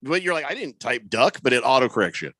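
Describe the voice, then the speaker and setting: Sports radio host guy, bright sharp voice, dry studio sound, tells stories and reads out comments. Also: mid-range voice, big pitch swings, fast talker, slightly rough, no echo. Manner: storytelling, reads listener comments aloud. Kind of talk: casual talk show banter.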